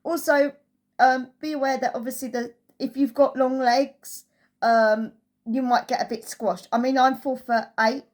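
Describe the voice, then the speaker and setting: A woman's voice: high voice, steady tone, measured pace. A woman giving a casual, chatty holiday review as a travel vlogger, telling it through anecdotes.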